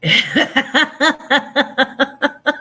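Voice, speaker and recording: Chatty grandmother with a bright voice. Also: high-pitched, fairly even intonation, extremely slow. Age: older. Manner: chatty, rambling, self-deprecating, reads and answers chat. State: stuffy from allergies. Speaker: livestream host. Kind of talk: casual livestream chat.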